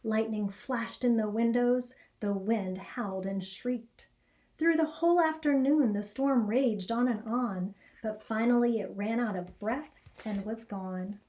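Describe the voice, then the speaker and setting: Female storybook reader, middle-aged, soft mellow tone, slow measured delivery with expression. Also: on the high side. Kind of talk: read-aloud children's story narration. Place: small indoor classroom or office.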